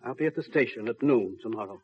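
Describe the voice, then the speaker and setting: A man's voice: mid-range voice, expressive, fast talker, husky. An actor playing a bitter, despairing but resolute father in a 1950s radio drama. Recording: muffled old radio recording, faint noise.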